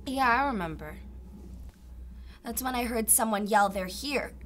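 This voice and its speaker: A woman's voice: fairly high pitch, lively intonation, measured pace, a bit bright. An actress playing a traumatized young woman with the mind and simple speech of a child, in a radio drama. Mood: childlike, haunted. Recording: audible background noise.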